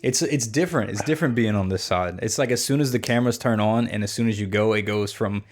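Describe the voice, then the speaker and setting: A young man chats casually as a podcast host. His voice sits on the low side, and he rambles, stacking fillers and restarts as he talks. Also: quick pace.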